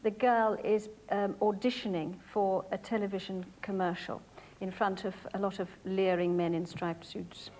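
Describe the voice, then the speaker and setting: A woman's voice: mid-range voice, level tone, normal speed, darkish tone, a little hiss or hum. A woman presenting and interviewing as a television chat show host.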